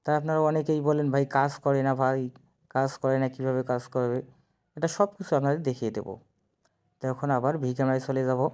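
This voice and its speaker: A man's voice: mid-range voice, fairly even intonation, a little fast, darkish tone, clean tone. A man giving a casual step-by-step phone app tutorial, instructing viewers directly and repeating himself. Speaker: tutorial presenter.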